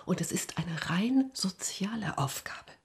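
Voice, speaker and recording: An actress playing a calm, scheming, pious deaconess who is measured and often hushed, in a radio drama. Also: female voice, medium pitch, whispered. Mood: stern, secretive. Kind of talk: naturalistic radio drama acting.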